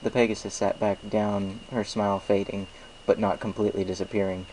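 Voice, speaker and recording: Casting: male storyteller, lowish voice, scripted first-person narration, faint background noise. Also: flat delivery, a little fast, darkish tone, rough recording. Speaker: fan fiction narrator.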